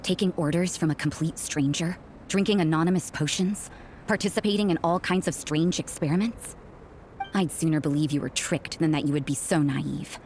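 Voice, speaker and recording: Low-voiced, suspicious game nun. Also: female voice, darkish tone, a little hiss or hum. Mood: accusing, cold. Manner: cool, sardonic, deliberate. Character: stern, suspicious young nun, cynical and guarded. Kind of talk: English video game character dialogue.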